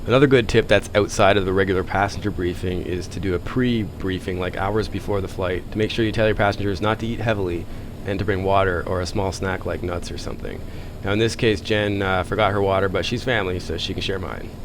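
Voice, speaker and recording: A man's voice: deep voice, steady tone, quick pace, background noise. A man narrating a vlog as a pilot, chatty, informal and self-deprecating. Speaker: private pilot and video host.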